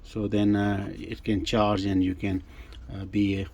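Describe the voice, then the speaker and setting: A man's voice: on the low side, monotone, background noise. A man who is not a native English speaker, casually demonstrating a gadget in a hesitant, step-by-step way. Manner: hesitant, halting, step-by-step explaining. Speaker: presenter demonstrating a vintage gadget. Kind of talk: casual unscripted product demonstration.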